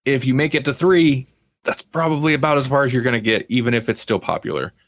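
A man's voice: medium pitch, quick pace. A man hosting a casual podcast about television, talking in a conversational way with rambling explanations. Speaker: podcast host. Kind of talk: casual TV review chat.

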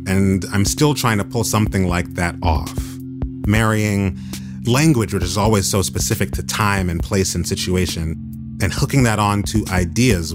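Low-voiced male radio host. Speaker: host, writer and theater critic. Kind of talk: scripted radio narration and interviewing.

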